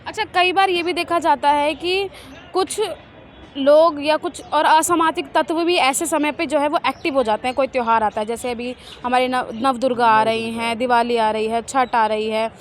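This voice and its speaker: A woman's voice: high voice, steady tone, normal speed. A woman working as a street reporter, asking passers-by questions in a conversational way. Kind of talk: vox pop interviewing. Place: outdoors on an urban street.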